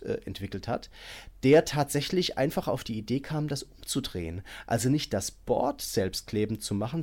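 Podcast co-host, man, mid-pitched, chatty explainer with plenty of hesitations. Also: darkish tone. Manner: explanatory, many fillers. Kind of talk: casual podcast chat.

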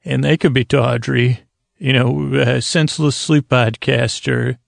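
Creaky-voiced man, rambling and halting. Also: mid-range voice, steady tone, dry recording, clean studio sound. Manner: halting, meandering, self-deprecating. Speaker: host of a sleep podcast. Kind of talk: rambling, improvised bedtime monologue.

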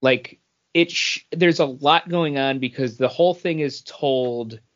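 A man's voice: medium pitch, measured pace. A man co-hosting a podcast, chatting casually through a comic book review in a rambling way that repeats phrases as he thinks. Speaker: podcast co-host. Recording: dull lo-fi podcast audio.

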